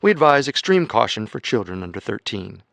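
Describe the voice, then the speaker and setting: A male podcast host giving measured, announcer-like scripted narration. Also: lowish voice, big pitch swings, vocal fry, fairly bright tone, dry recording.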